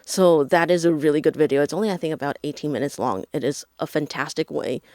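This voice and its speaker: A woman's voice: deep voice, fast talker, dry recording, studio quality. A woman from Taiwan, an English teacher, talking casually as a podcast guest and acting out the voices she quotes. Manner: animated, acts out quoted voices.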